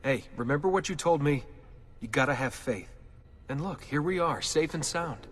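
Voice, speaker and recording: An actor playing a steady, plain-spoken young everyman in a video game, talking casually. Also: fairly high pitch, moderate pace. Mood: concerned, reassuring. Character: young everyman, puzzled yet steady and reassuring. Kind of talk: naturalistic video game acting.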